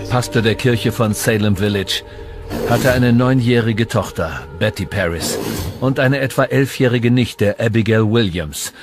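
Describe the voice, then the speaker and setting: An actor playing a stern, zealous Puritan preacher of 1692, reading gravely and declaiming in a historical documentary. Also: male voice, fairly low pitch, lightly acted. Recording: music underneath.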